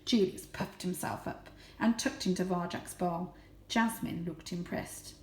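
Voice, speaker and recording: A woman reading a children's book as dramatic narration, lingering on key words and hushing for suspense. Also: on the low side, a little slow. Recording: roomy, echoey sound.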